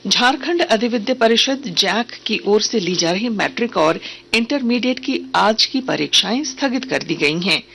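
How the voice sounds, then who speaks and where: A woman's voice: medium pitch, lively intonation, vocal fry, fairly bright tone, a little hiss or hum. Woman reading a formal radio news bulletin. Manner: formal, measured announcing. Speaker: news reader.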